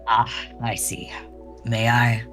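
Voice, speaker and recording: Someone doing a polite erudite scientist for a visual novel, bright voice, formal, faint music under. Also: mid-range voice, fairly even intonation, slow. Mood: courteous. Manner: courteous, formal.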